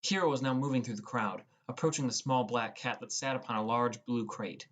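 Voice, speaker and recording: A young man narrates an audiobook in the first person, reading from a script. His voice sits at an ordinary middle pitch. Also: brisk, fairly bright tone.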